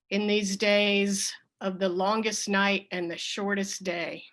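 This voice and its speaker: A woman leading a worship service in spoken prayer and meditation, with measured, reverent phrasing. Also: mid-range voice, fairly bright tone.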